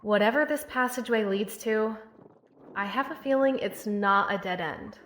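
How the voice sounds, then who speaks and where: An actress playing a resolute, cautious young woman in an audio drama, measured, in an echoey space. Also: medium pitch, a little hiss or hum, lightly acted. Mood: wary, resolute. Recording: echoey space with faint ambience.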